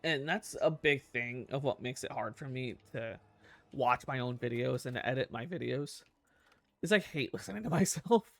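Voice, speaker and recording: A man's voice: medium pitch, normal speed, creaky. A man with a nasal voice, rambling in casual commentary over gameplay as a gaming YouTuber, swearing often and laughing at himself.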